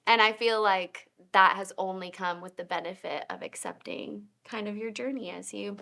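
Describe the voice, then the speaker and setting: A woman in her late twenties speaks as a guest in a relaxed faith chat, with a bright, clear tone. She tells her story in an earnest, reflective way at an even pace. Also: mid-range voice, normal speed. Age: 20s.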